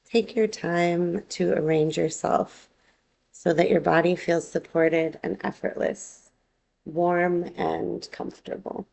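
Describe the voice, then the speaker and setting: A woman teaching yoga nidra, guiding a relaxation meditation in a calm, unhurried, gently instructive way. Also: lowish voice, creaky, slight background noise.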